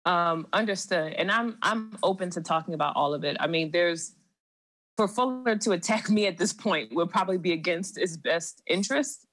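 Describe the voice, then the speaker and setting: A low-pitched woman speaks at a measured pace, stopping often on a drawn-out "um". She sounds close and dry, with no room behind her, as she lays out a story on a podcast. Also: a bit bright, dry recording. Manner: measured, with frequent "um" pauses. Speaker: podcast guest; seminary student. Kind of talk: recounting a personal story in conversation.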